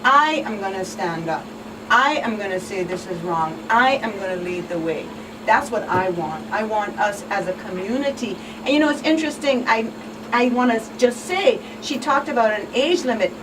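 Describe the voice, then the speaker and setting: A woman's voice: medium pitch, lively intonation, normal speed. A woman guest on a talk show, speaking earnestly and emphatically, with repeated phrases for emphasis. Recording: distant mic with clear background noise.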